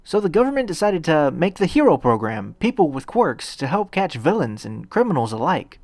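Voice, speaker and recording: A man's voice: a little high, sing-song, normal speed, a little mellow, no room sound, pitched above the performer's own voice. A man voicing an earnest, polite, stammering teenage boy hero in training in a narrated story. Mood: eager, explaining. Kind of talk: acted audiobook dialogue.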